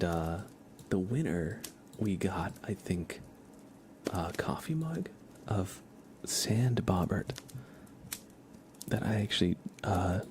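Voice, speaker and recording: A man hosting an ASMR livestream, chatting casually and haltingly in a soft, hushed voice that drifts into whispering. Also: low-pitched. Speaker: livestream host. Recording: steady background noise with keyboard typing.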